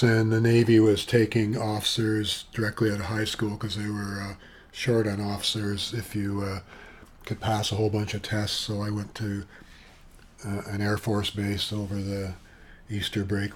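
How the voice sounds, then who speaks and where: A soft-voiced Canadian man in his seventies, sitting at his computer at home and rambling through a personal video monologue with many asides. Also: on the low side, slight background noise. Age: 70s. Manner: chatty, eccentric, wandering with asides and fillers. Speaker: vlogger. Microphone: webcam with external sound gear.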